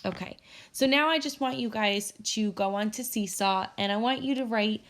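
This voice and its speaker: A woman with a medium-pitched voice reads a storybook aloud for children. She is expressive and speaks as a teacher does to her pupils. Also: moderate pace.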